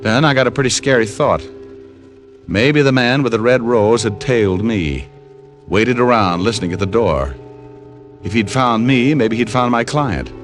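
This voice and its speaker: An actor playing a wisecracking, hard-boiled American private detective in an old-time radio drama, narrating and talking with dry confidence. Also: male voice, medium pitch, normal speed, soft background music, lightly acted. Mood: wry narrating. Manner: wry, deadpan wisecracking.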